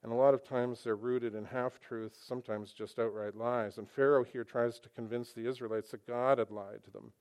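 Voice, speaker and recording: A man preaching a sermon to a congregation on a microphone, animated and conversational. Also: mid-range voice, moderate pace, few pauses. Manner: animated, conversational, with emphatic asides.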